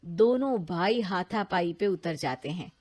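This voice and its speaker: A woman's voice: fairly low pitch, animated, measured pace, a little mellow. A woman narrating a scripted cartoon story as its storyteller.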